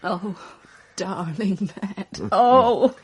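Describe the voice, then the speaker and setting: A woman's voice: mid-range voice, drawn-out words, breathy edge, exaggerated voice. An actress playing an anxious, emotional woman under suspicion in a radio mystery, pleading and dramatic. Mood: rueful, tender. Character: anxious, emotional female murder suspect, drinking scotch.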